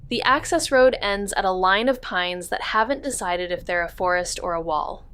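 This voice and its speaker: The wry woman detective telling the case in clipped, measured lines on a noir mystery podcast, played by a woman. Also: mid-range voice, a bit bright, smooth, lightly acted. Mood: brooding, wry. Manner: terse, measured, atmospheric. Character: wry, hard-boiled female amateur sleuth telling her story. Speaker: narrator. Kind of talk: noir scripted narration.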